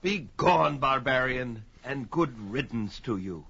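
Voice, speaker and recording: An actor playing a proud, blustering and menacing desert trader in a fantasy audio drama. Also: male voice, medium pitch, animated, takes it slowly, vocal fry, sharp tone, slightly rough, full character voice. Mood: beaten, bitter. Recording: dull lo-fi with music behind.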